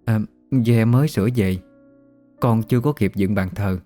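A man's voice: on the low side, normal speed, mellow, soft background music, close to an everyday voice. A man voicing an evasive young widow who lives on a river boat, with a Southern Vietnamese accent and a hesitant, plaintive manner, in an audio story. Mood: reluctant.

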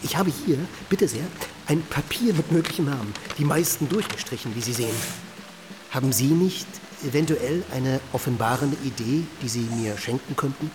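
An actor playing an earnest, puritan vegetarian inventor in a radio drama, speaking politely in wordy, formal sentences. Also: male voice, medium pitch, animated, normal speed, lightly acted. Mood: polite, eager. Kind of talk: German radio drama acting. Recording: clear background ambient noise.

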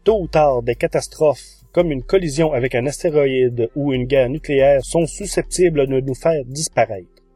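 A man's voice: medium pitch, moderate pace, dark tone, husky, no room sound, faint music behind. A man, hosting a podcast and presenting reviews of audio shows in a monologue. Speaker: podcast host.

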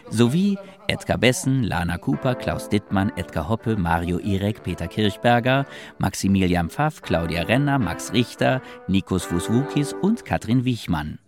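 A man's voice: on the low side, animated, measured pace, a little mellow. A man reading scripted credits as a radio play announcer. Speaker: announcer of cast and production credits. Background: guitar music.

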